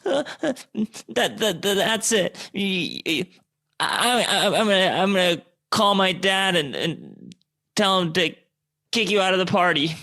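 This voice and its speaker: Man doing a high, whiny stammering nerd boy. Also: expressive, measured pace, gravelly, bright, forceful tone, heavily acted. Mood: flustered, indignant. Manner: stammering, indignant. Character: whiny stammering nerdy rich teenage boy.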